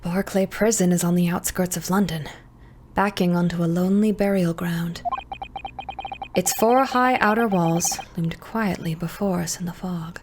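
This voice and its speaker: A woman's voice: on the low side, fairly even intonation, moderate pace, noisy background, lightly acted. A woman voicing a polite, earnest young male law student from Japan in a video game. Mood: calm narrating.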